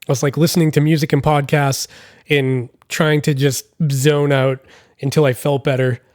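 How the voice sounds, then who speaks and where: A man's voice: fairly high pitch, level tone, normal speed, a little mellow, no room sound, clean studio sound. A man in his thirties with a scratchy voice from being sick, hosting a casual solo podcast from a hotel room in a rambling, apologetic way. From Massachusetts, United States. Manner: rambling, self-deprecating, apologetic. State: scratchy voice from illness. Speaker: podcast host.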